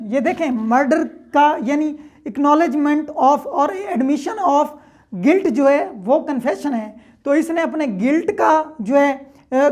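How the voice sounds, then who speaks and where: A woman's voice: high voice, a little mellow. A woman teaches as a lecturer. She explains methodically with examples, restating each point and checking that it is understood. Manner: didactic, step-by-step, repeats points and checks understanding. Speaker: law lecturer.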